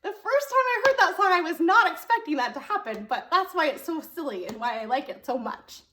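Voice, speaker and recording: A woman's voice: very high voice, animated, brisk, sharp tone, slight background noise. A woman telling a story to preschoolers as a children's librarian, in a sing-song, child-directed way with frequent questions. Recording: roomy echo.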